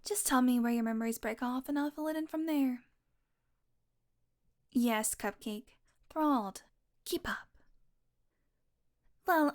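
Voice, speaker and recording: A woman's voice: on the high side, natural voice. A woman playing a sardonic, flirtatious, possessive female vampire in an intimate audio roleplay, talking teasingly to the listener and sometimes dropping into a murmur. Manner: sardonic, teasing, casually swearing, slipping into breathy murmurs.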